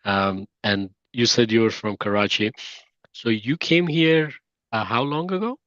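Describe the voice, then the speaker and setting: A man hosting a podcast interview, speaking haltingly with many "um" and "uh" fillers. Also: medium pitch, fairly bright tone, no room sound, muffled recording. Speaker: podcast host.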